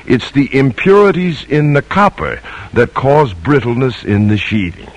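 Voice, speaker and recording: Casting: spirited older colonial founder, emphatic husky delivery with big melodic swings, vintage radio play. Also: male voice, medium pitch, lively intonation, a little slow, no room sound. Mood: eager, explaining. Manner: emphatic, declamatory. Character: spirited, determined colonial American father and metal founder. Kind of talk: 1950s radio drama acting. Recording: old radio broadcast recording.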